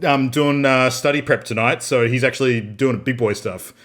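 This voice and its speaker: A man's voice: mid-range voice, normal speed, a bit bright. Laid-back man hosting a casual podcast. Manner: laughs often, rambling and loose. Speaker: podcast host. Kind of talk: casual chat. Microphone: video call.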